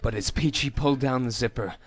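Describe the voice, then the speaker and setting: A man's voice: mid-range voice, a little fast. A man narrates a written story in a mid-range voice. His delivery is theatrical and dramatic, like an amateur storyteller performing the text as he reads it aloud.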